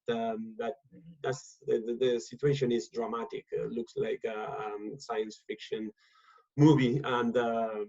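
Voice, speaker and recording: A man talks on a video call from his home, stumbling over his words and restarting often. His voice stays level in pitch and tone. The call audio sounds dull and lo-fi. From Argentina. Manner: halting, many repeated words and fillers. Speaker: guest speaker. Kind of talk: casual talk on a video call.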